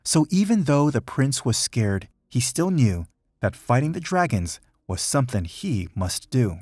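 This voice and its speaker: Husky, animated man reading a storybook. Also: medium pitch, measured pace, dry recording, clean studio sound. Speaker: storybook reader. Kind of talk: children's picture book read-aloud, rhyming verse.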